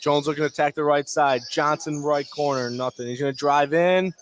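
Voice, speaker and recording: A man doing live play-by-play basketball commentary in clipped phrases, with excitable calls on big plays. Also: fairly high pitch, a little fast, a little hiss or hum. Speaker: play-by-play sports announcer. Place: courtside at a basketball game.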